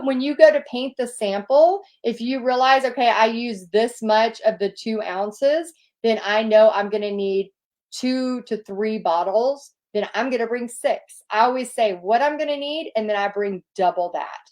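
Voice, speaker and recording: A woman's voice: fairly high pitch, a little slow, darkish tone. A woman with a Southern US accent, a paint party instructor chatting casually as she answers viewer questions in an upbeat, encouraging way. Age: adult, nearly two decades of experience.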